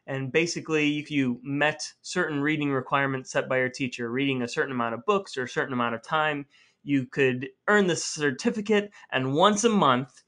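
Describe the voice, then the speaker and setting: A man's voice: mid-range voice, a little fast, fairly bright tone. A middle-aged American man hosting a podcast, speaking in a scripted, narrating style. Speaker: podcast host.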